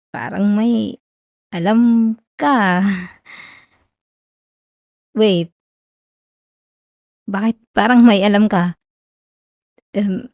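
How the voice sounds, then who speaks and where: Woman playing flustered tsundere best friend, young Filipino woman, whiny, halting, unhurried pace, close dry sound, ASMR romance roleplay. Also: a little high, darkish tone, no echo. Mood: flustered, awkward. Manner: whiny, stammering, halting with nervous giggles.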